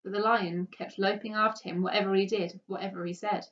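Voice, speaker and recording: A woman reading a children's story aloud with expressive narration. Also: medium pitch, fairly quick. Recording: dull, distant microphone.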